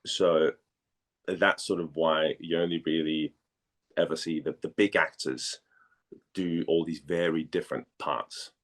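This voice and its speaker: A man from Denmark chatting casually as an interview guest, speaking haltingly and repeating words as he thinks. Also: very deep voice, fairly even intonation, fairly bright tone.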